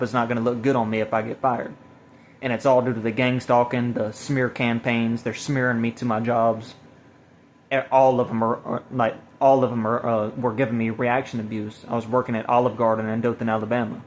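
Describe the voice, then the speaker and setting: A man talks in a rambling, personal way, like someone recording his own testimony. His pitch sits in the middle and barely moves. He is halting, often repeating and restarting his phrases. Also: little pitch movement, slight background noise. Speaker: self-described evangelist recording a personal video. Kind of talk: rambling spoken testimony to camera or phone.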